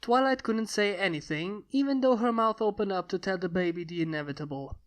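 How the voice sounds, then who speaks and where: A woman's voice: medium pitch, a little fast. Woman narrating a fantasy story. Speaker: fan fiction reader.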